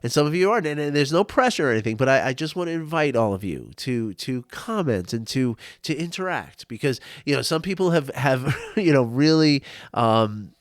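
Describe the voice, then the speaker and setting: A man's voice: medium pitch, big pitch swings, a little fast, no room sound. Middle-aged guy with a cold, nasal and stuffy, rambling podcast chat, dry close mic. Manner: rambling, repeats words, friendly. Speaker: podcast host and coach. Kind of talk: casual impromptu monologue.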